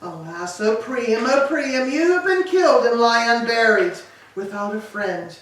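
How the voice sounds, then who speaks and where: A woman's voice: on the high side, expressive, unhurried. A woman playing a grief-stricken aged queen in a staged Greek tragedy, lamenting in declamatory style. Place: church, live staged reading. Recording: echoey hall, distant mic, background noise.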